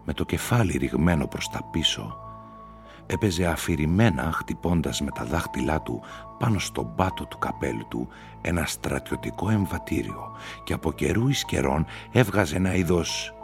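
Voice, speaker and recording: A male narrator reads literary fiction in a dramatic style. His voice is extremely deep, with a heavy creak. He takes it slowly and deliberately, with music faintly underneath. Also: expressive. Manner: slow, deliberate.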